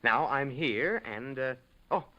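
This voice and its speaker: A man's voice: mid-range voice, lively intonation, takes it slowly. A man acting in a 1950s radio drama, playing an earnest young time traveler who speaks in hesitant, exclaiming bursts. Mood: flustered, insistent. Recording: muffled old radio recording.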